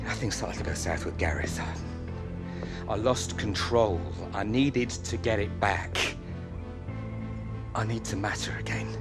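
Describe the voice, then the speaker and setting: An actor playing a middle-aged football agent who talks in a pleading, apologetic way. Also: male voice, lowish voice, a little slow, creaky, pauses often, over loud music. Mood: regretful. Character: aging male football agent, desperate and guilty.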